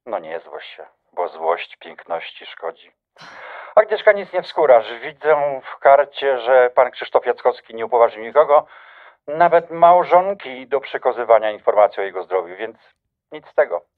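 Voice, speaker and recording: A man's voice: medium pitch, very animated, moderate pace, phone-quality sound. An actor playing a smug, flirtatious doctor who teases his ex-lover, in a radio drama over a telephone. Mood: smug, teasing. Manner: teasing, insinuating.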